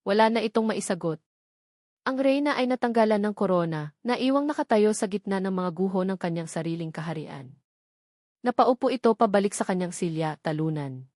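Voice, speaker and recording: A woman's voice: mid-range voice, lively intonation, brisk, darkish tone, dry recording, clean studio sound. A woman reading a scripted, dramatic story as its narrator.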